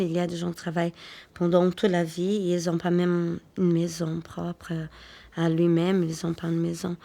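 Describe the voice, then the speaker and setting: Woman speaking halting French. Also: on the low side. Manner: halting, simple phrasing. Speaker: immigrant interviewee. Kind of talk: documentary interview.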